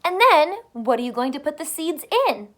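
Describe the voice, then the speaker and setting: A woman teacher reading a picture book aloud to young children, pausing to explain simply and ask questions, drawing out words for emphasis. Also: high-pitched, animated.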